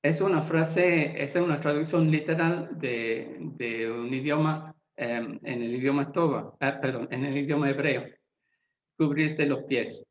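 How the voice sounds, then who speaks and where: A man who is not a native Spanish speaker, a Bible translator giving a lecture-style talk, deliberate and often pausing to search for words. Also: a little high, fairly even intonation. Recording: echoey, tinny phone-like sound.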